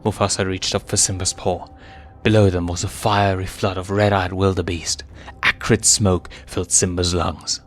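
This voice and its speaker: A man's voice: deep voice, little pitch movement, normal speed, slight background noise. A man reading a story aloud as a narrator, in a dramatic, scripted storytelling style. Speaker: fan fiction reader and narrator. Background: music.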